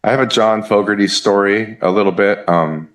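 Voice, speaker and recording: A man in his fifties from the Southern United States with a Southern US accent, chatting as a musician guest in an interview, relaxed and hesitant with many fillers. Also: on the low side, normal speed. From Southern United States (Kentucky, Memphis). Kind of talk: casual interview chat. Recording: echoey room.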